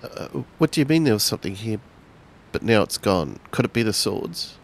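A man's voice: on the low side, sing-song, moderate pace, gravelly, pitched above the performer's own voice. A man voicing a confused, concerned man in a tabletop game, asking questions in a puzzled way.

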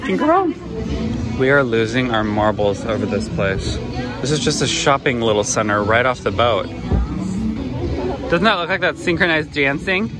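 A man's voice: mid-range voice, sing-song, moderate pace, long pauses, over loud music, over a noisy crowd. Gushing man vlogging a sunny port. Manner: gushing, playful, chatty. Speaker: family vlogger. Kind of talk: casual travel vlog. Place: outdoors at a sunny cruise port.

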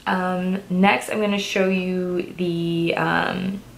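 Woman, twenties, slightly low pitch, stuffy from a cold, chatty with lots of fillers, close camera mic in a small room. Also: little pitch movement, slow, creaky, slight background noise. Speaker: fashion vlogger. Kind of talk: casual try-on haul chat.

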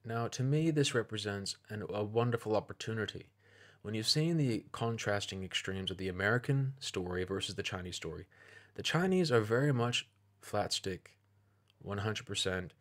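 A man giving an opinionated video commentary, speaking in a measured, deliberate and persuasive way. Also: on the low side, lively intonation.

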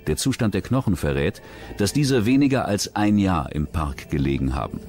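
A man narrating a true-crime documentary in a measured, serious scripted delivery. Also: deep voice, animated, music in the background.

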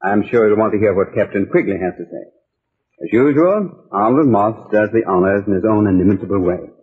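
A man's voice: on the low side, lively intonation, fairly quick, husky. An actor playing an easygoing, wry newspaperman, telling his story conversationally in old-time radio style. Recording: narrow-band vintage radio recording.

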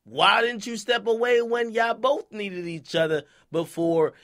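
Male commentary host, high voice, bright and sharp, emphatic, slangy, opinionated. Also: a little slow. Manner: emphatic, punctuates points with "okay?". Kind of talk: opinionated hip-hop news commentary, slangy.

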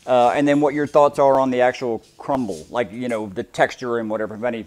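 A man's voice: medium pitch, fairly even intonation, fast talker, darkish tone. A man in his forties, a chef talking casually and ramblingly to a live audience while cooking in a large demo kitchen, picked up from across the room. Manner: chatty, rambling, self-deprecating.

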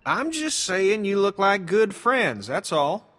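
An actor playing a stubborn, prickly middle-aged survivor in a dramatic video game, blunt, folksy and sarcastic. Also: male voice, high voice, very animated, slow, fairly bright tone, heavily acted. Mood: forced friendliness.

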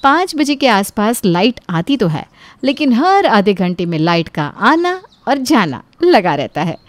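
A woman's voice: medium pitch, big pitch swings, unhurried, dry recording. A woman narrator reading a scripted family story.

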